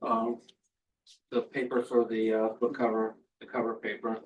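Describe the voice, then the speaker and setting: Male host at a book event, flat delivery, distant mic. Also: on the low side, moderate pace, lo-fi sound.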